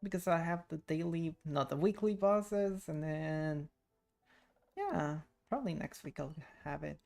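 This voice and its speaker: A woman streamer chats casually over game music in a slightly low voice with a gravelly creak. She takes her time, dropping short offhand comments to herself as she plays. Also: expressive, measured pace, darkish tone. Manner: talks to herself while playing, short offhand remarks. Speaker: streamer, VTuber. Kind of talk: casual gameplay livestream chat. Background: video game music and sounds.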